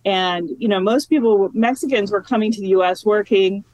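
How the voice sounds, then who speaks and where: A woman geography professor talking as an interview guest, chatty and relaxed, with lots of fillers and restarts. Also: mid-range voice, moderate pace, no echo, rough recording. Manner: chatty, many fillers and restarts, laughs easily. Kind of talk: casual academic interview.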